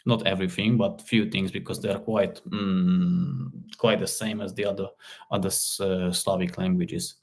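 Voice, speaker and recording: Low-voiced Hungarian-speaking man chatting on stream. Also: little pitch movement, darkish tone. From Slovakia. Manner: halting, repeats words, many fillers. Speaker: live stream host, car repair hobbyist. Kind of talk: casual live stream chat reading comments.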